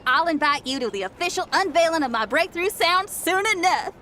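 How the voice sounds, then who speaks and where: A woman voicing an excitable young musician in a video game, energetic and slangy in manner. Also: very high voice, brisk, slight background noise, exaggerated voice. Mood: hyped. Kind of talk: video game character voice acting.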